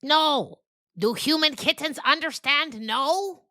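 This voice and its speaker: A woman's voice: high-pitched, takes it slowly, bright, forceful tone, dry recording, clean studio sound, pitched above the performer's own voice, heavily acted. A woman voicing an exasperated, put-upon little ghost cat in an audio story, emphatic and complaining. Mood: frantic.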